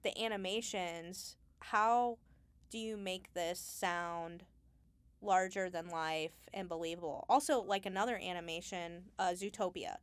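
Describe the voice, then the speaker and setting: A woman's voice: on the low side, steady tone, unhurried, smooth, no echo. A woman talking casually and informally as the guest in an interview about her craft. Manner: giggly, informal. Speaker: Foley artist, interview guest.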